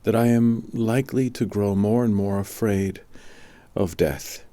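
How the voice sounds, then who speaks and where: A man's voice: on the low side, steady tone, a little slow, dark tone, no room sound, pitched above the performer's own voice, natural voice. A man voicing a thoughtful, uneasy young boy pupil in an audiobook, hesitant and questioning. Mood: doubtful. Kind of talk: audiobook storytelling.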